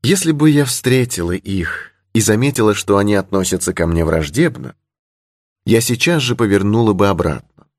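Male narrator as a brave young lady, lifted pitch, candid, assured, adventure storybook, dry close sound. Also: a little mellow, slightly rough, dry recording. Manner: candid, charmingly simple, assured. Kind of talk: audiobook character in adventure novel.

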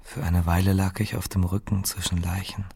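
An actor playing a wounded, dazed survivor of a massacre in a radio drama monologue, numb, subdued and halting. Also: male voice, deep voice, level tone, vocal fry, mellow, breathy edge, exaggerated voice. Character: wounded, dazed male survivor lying among corpses.

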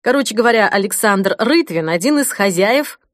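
A woman voicing a confident male chief doctor in an audiobook, brisk, familiar and persuasive, explaining with emphasis. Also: mid-range voice, animated, no echo, clean studio sound, natural voice. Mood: persuasive, authoritative. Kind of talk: audiobook character dialogue.

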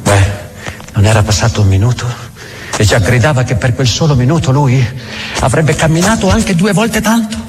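An actor playing a world-weary, mocking devil in a theatrical radio drama monologue, brooding and sardonic, halting and then rushing. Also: male voice, mid-range voice, music in the background, natural voice. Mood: sardonic storytelling. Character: devil, vulgar world-weary mocking tempter musing on doubt.

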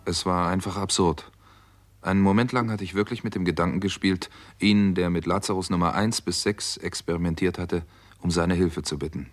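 Low-voiced, brooding doctor. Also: male voice, steady tone, moderate pace, faint music behind. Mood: brooding, tense. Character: wary, brooding American doctor narrating his own case. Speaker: radio drama actor, lead and narrator. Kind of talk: 1960s German radio crime drama acting.

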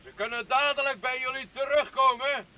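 An actor playing a proud, commanding medieval knight who declaims formally in a Dutch radio play. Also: male voice, very high-pitched, steady tone, brisk, pushed and bright, full character voice. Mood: formal, bargaining. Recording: dull lo-fi old radio recording.

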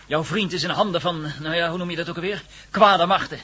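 A man's voice: on the high side, a little fast, pushed and bright, slightly rough. An actor playing a brash, impatient young man in a radio play, blunt and informal. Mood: firm. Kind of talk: Dutch radio play acting.

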